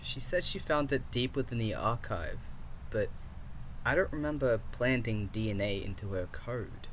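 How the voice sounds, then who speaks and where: A man's voice: mid-range voice, expressive, normal speed, a little hiss or hum, close to an everyday voice, poor audio. A man playing a curious, teasing archive curator and programmer in an audio drama, in a conversational, slightly hesitant manner. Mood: puzzled, curious. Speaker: voice actor.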